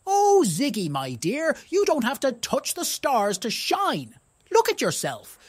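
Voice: high-pitched, wildly swooping pitch, measured pace, husky, no echo, voice pushed up, full character voice. A storyteller voicing a wise old owl who gives kindly, reassuring advice in a children's storybook. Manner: reassuring, gentle advice-giving.

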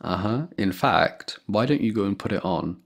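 A man's voice: fairly low pitch, animated, moderate pace, gravelly. A young man in a boyfriend roleplay, talking to a girlfriend in a playful, laughing, affectionate way, close to the mic.

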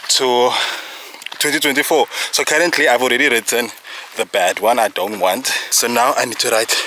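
A man's voice: medium pitch, steady tone, moderate pace, sharp tone, a little hiss or hum. A man in his thirties from South Africa, vlogging casually to a handheld camera outdoors on a mountain trail, joking at his own expense and a little out of breath. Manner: chatty, jokey, self-teasing asides. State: slightly out of breath. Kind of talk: casual vlog talk to camera. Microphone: handheld camera close to face.

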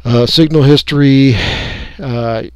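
Casting: American man, medium-pitched, folksy hobbyist talking through software off the cuff, in a dry recording. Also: steady tone, slow, clean tone, dry recording, dull audio. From United States. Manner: folksy, rambling, thinking aloud. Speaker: radio hobbyist giving a software tutorial. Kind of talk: casual unscripted screen-recording walkthrough.

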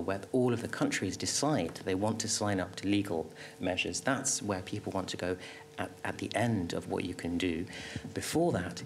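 A middle-aged man speaking as an expert panelist, answering off the cuff at a table microphone with halting restarts and emphatic repetition. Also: lowish voice, slight background noise. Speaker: panelist, health agency official. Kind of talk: panel discussion answer, spontaneous expert talk. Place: conference auditorium panel table.

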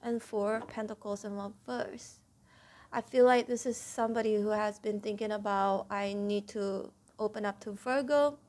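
A woman giving a casual tarot reading at a table, talking straight to her viewers, a little stuffy and clearing her throat, often checking in with "okay?". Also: medium pitch, fairly even intonation, moderate pace, darkish tone. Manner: chatty, repeats "okay?" to viewers, stresses key words. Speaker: tarot reader. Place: indoors at a table, home room.

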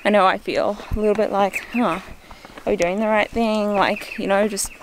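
A woman's voice: medium pitch, steady tone, normal speed, slight background noise, rough recording. A woman, chatting casually to a handheld vlog camera. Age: 20s. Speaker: travel vlogger. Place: outdoors on a forest hiking trail and summit, later in a car. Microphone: handheld camera at arm's length.

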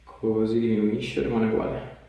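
A man's voice: fairly low pitch, steady tone, normal speed, gravelly, muffled recording. A man, a chiropractor giving casual instructions in short phrases during treatment. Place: small bare treatment room, indoors. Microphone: wireless clip mic on scrubs top. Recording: echoey room, distant, faint noise.

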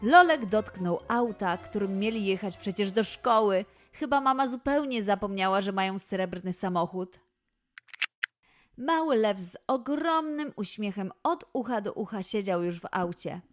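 A woman's voice: medium pitch, unhurried, faint music behind. A woman narrating a scripted children's story expressively as the host.

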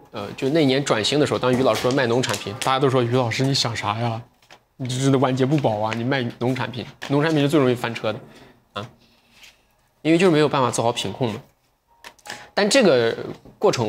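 Young man hosting a lively livestream. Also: mid-range voice, a little hiss or hum. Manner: lively, talks straight to viewers. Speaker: livestream sales host. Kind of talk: chatty livestream selling and book talk. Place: indoor livestream studio with bookshelves.

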